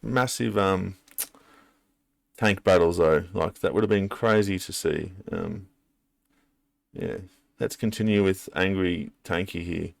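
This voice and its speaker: Male reaction host: low voice, close dry sound, casual and hesitant delivery as he thinks aloud. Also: slow pace, gravelly, long pauses, dry recording. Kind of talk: casual unscripted commentary.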